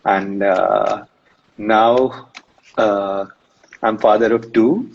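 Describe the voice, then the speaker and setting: A man's voice: lowish voice, fairly even intonation, extremely slow, gravelly. A man, a guest in a live-stream interview, telling his story in a rambling way with many fillers. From India. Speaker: guest, runner and psychologist. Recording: muffled lo-fi stream audio.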